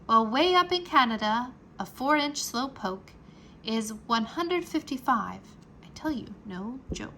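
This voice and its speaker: Bright, animated woman reading to children. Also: fairly high pitch, slight background noise. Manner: expressive, deliberate enunciation for young listeners. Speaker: teacher reading a children's book aloud. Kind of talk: children's read-aloud narration, rhyming nonfiction.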